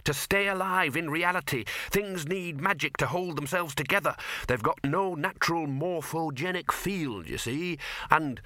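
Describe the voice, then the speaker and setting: Man putting on a fussy old wizard, raised pitch. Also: moderate pace, bright, forceful tone, no echo. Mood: explaining, pedantic.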